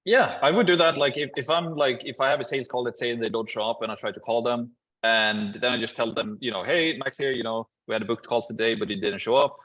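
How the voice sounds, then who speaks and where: Fast-talking husky coach on a call. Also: male voice, mid-range voice, muffled recording. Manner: fast, relaxed, casual advice. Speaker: business coach hosting a Q&A call. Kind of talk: coaching advice on a group call.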